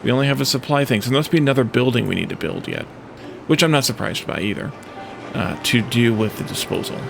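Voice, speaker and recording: Gravelly man talking through a game. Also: medium pitch, animated, quick pace. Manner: thinking aloud, musing through problems. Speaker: video game let's play host. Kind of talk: casual gameplay commentary. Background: background music.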